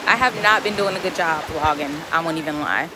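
A woman's voice: low-pitched, brisk. A woman in her late twenties chatting casually to her phone camera as she walks along a beach. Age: late 20s. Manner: chatty, talking to the camera while walking. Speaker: vlogger. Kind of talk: casual travel vlog. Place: outdoors on a beach by the sea. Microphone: phone camera at arm's length. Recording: loud wind and surf noise.

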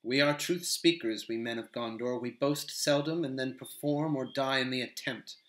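A man voicing a grave, noble male captain of men who speaks slowly and softly. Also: mid-range voice, natural voice. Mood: stern. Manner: slow, soft, measured. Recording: roomy echo.

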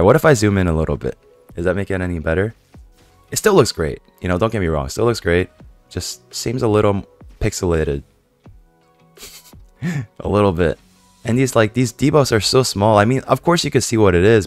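Low-voiced hoarse young man chatting gameplay. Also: no echo. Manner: chatty, rambling, many fillers and self-questions. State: hoarse from a cold. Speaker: gaming YouTuber. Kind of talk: casual game commentary to viewers.

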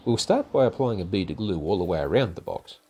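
An older man narrating a woodworking project in a calm, methodical, step-by-step way, as host of his own workshop channel. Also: low-pitched, fast talker, slightly rough. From Australia. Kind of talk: instructional voice-over narration. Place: home garage workshop.

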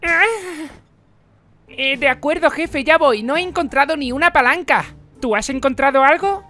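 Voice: high voice, moderate pace, pushed and bright, soft background music. A performer voicing a timid, whiny, hesitant male sidekick in a cartoon fandub. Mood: grumbling, hesitant. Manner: hesitant, deferential. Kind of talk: comedy cartoon fandub acting.

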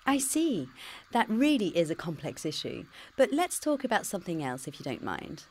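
A woman's voice: on the low side, very animated, normal speed. A woman voicing a cheeky British digital future self. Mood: teasing. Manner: cheeky, teasing and dodging questions. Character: computer-generated future self of a young woman, smug and evasive. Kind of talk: pre-recorded game dialogue.

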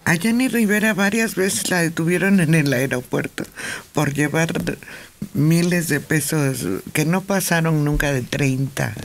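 A man's voice: fairly high pitch, a little slow, a little hiss or hum. A man from Mexico insistently correcting others during a radio panel chat. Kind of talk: casual studio debate. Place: radio studio.

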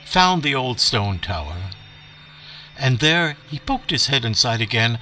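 A man voicing an eccentric professor who tells children's stories on old radio, theatrical and unhurried, with dramatic pauses. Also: medium pitch, sharp tone, distant mic, heavily acted, low-quality recording. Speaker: storyteller.

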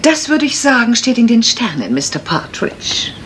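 A witty, higher-voiced lady sleuth. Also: sing-song, normal speed, fairly bright tone. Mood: arch. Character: witty, theatrical wife sleuthing, posing as psychic lady. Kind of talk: German radio mystery play acting. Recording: faint noise, retro radio play.

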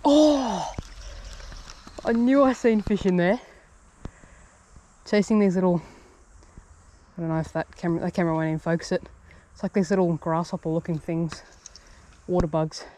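A man talking to himself in quick bursts and low asides while fishing a bush creek, recorded on a head-mounted camera outdoors. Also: dark tone. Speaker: fishing vlogger. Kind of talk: casual self-talk while fishing. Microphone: head-mounted action camera.

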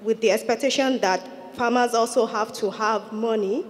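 A woman's voice: a little high, moderate pace. A woman asks a direct question from the audience at a conference, into a handheld mic. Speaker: audience member asking a question. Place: large conference hall. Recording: reverberant conference hall.

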